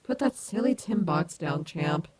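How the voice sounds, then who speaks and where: A woman's voice: mid-range voice, animated, measured pace, a little mellow, a little hiss or hum, natural voice. A woman playing a cocky but caring animatronic wolf rock star in an ASMR audio roleplay, teasing and affectionate. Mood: baffled, dismissive.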